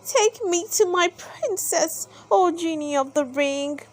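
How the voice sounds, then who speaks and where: A woman's voice: very high-pitched, slow pace, pitched above the performer's own voice. A woman voicing an eager young storybook hero who speaks in urgent, pleading exclamations. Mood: urgent, begging.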